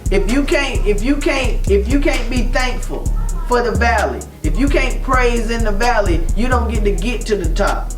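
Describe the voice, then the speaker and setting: A man's voice: extremely high pitch, moderate pace. A man in his thirties giving a motivational talk to a group, preaching in call-and-response and retelling scripture in casual slang. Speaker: motivational speaker. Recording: distant roomy mic with music behind.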